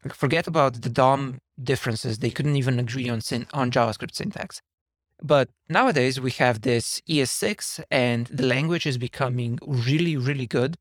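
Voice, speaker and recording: A man from Slovenia, a software engineer guesting on a podcast, who talks casually and wanders off on tangents. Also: mid-range voice, moderate pace, no room sound. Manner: rambling, self-deprecating, digressive.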